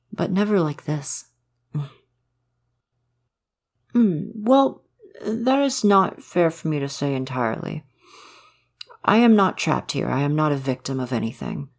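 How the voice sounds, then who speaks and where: A man voicing a gentle, shy, curious forest spirit guardian in an intimate ASMR roleplay, speaking in careful, formal, hesitant phrases. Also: on the high side, creaky.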